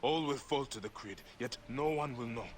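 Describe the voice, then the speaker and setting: An actor playing a grim, weary middle-aged warrior in a video game, speaking low and resolute. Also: male voice, moderate pace, slight background noise, lightly acted. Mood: solemn. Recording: game audio over loud music.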